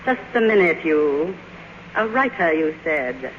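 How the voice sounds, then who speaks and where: A woman's voice: low-pitched, expressive, unhurried. An actress playing a proud, imperious faded silent-film queen in a 1950s radio drama, with grandiose, theatrical delivery. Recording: muffled, noisy vintage radio broadcast.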